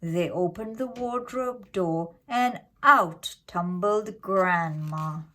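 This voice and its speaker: A woman reading a scripted fairytale for children in an expressive, dramatic, deliberate way. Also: fairly low pitch. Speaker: children's storyteller.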